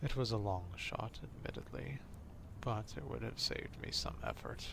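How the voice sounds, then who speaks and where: A man voicing an obsessive, courtly vampire stalker in an ASMR roleplay, speaking formally and deliberately in a confiding, half-whispered way. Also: on the low side, sing-song, gravelly, noisy background, heavily acted. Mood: cold, intent.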